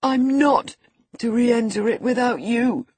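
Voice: medium pitch, takes it slowly, darkish tone, breathy edge, no echo, pitched above the performer's own voice. A narrator voicing a frail, terrified, whining youth who pants and pleads, in an audiobook of a classic novel. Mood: frightened, pleading. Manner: panting, pleading, faltering.

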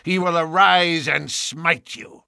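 A man's voice: lowish voice, sing-song, unhurried, a bit bright, no echo, exaggerated voice. A man plays a vain young suitor with a nasal voice and a smirking, self-satisfied manner. The sound is dry and close. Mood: threatening.

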